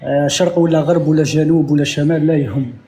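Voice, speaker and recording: A man with an Algerian Arabic accent who talks fast in a fairly high voice and argues back defensively as a caller on a live stream. A faint noise runs under his line. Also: fairly even intonation, warm tone. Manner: argumentative, defensive.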